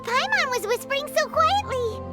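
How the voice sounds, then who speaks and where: An actress voicing a tiny, chatty female fairy companion in a video game, speaking of herself in the third person with a squeaky, childlike voice. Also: very animated, normal speed, loud music behind the voice. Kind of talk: video game cutscene dialogue.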